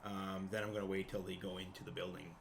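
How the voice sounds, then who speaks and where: Deep, creaky woman, quick and lively. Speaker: tabletop game player. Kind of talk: casual game-table chat. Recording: noisy room, clear background noise.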